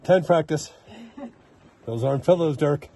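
A man's voice: fairly high pitch, a little slow, warm tone, a little hiss or hum. A man in casual, joking chat outdoors, recorded on a handheld action camera. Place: outdoors on a mountain, at a tent.

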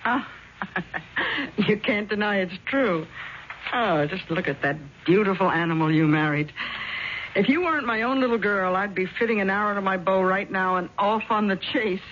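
A woman's voice: medium pitch, expressive, moderate pace, fairly bright tone, lightly acted. Woman playing brash, teasing mother-in-law, muffled old radio. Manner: arch, theatrical, teasing. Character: brash, teasing, money-minded middle-aged mother-in-law. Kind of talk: 1970s radio drama acting. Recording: dull, faintly noisy 1970s radio recording.

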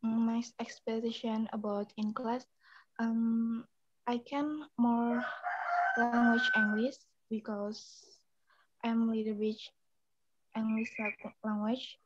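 Shy female student, quiet and halting, higher voice, lo-fi call. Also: flat delivery. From Tegal, Central Java, Indonesia. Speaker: university student. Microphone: video call. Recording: lo-fi video call with faint noise.

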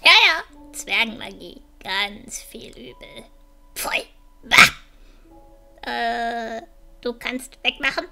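A woman voicing an excitable, cheeky and greedy little dragon who chatters in broken, childlike grammar. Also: on the high side, takes it slowly, gravelly, long pauses, faint music behind, heavily acted. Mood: disgusted, pleading.

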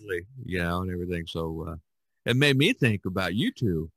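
An older man hosts a relaxed, conversational podcast. His voice sits fairly low and is recorded quite dry, and he wanders through his thoughts, circling back to repeat phrases. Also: a little fast, dry recording. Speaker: podcast host. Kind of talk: casual chat.

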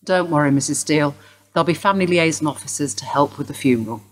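Woman playing a low-voiced young detective. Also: fast. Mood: formal, polite. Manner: polite, official. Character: brisk, procedural younger female police detective, new to job. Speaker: actor in a radio play. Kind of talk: naturalistic radio drama acting.

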